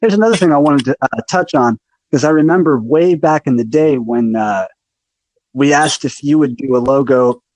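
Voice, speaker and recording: A man's voice: medium pitch, normal speed, darkish tone, no echo, poor audio. Enthusiastic podcast host man. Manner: enthusiastic, affirming interjections. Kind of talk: podcast interview.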